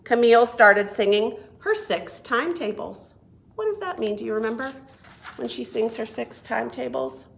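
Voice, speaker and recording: A woman reading a picture book aloud to young children as a children's librarian, expressive and deliberate. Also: on the high side, gravelly, a little hiss or hum. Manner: expressive, deliberate, asks listeners questions. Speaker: children's librarian and storytime reader.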